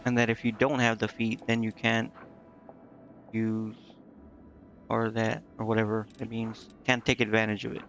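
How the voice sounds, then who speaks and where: A man's voice: fairly low pitch, completely flat delivery, moderate pace, pauses often, fairly bright tone, lo-fi sound, soft background music. A man streaming a video game, thinking aloud in a casual, hesitant way as he mutters to himself.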